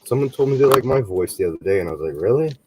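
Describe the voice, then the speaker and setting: A man's voice: on the low side, expressive, racing, very noisy. A man chatting casually on a live stream, telling laid-back stories and swearing casually. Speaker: stream co-host.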